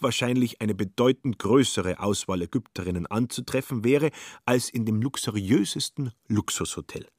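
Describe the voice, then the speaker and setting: A man with a husky voice reading scripted literary narration in a radio play. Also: lowish voice, no echo, studio quality, lightly acted.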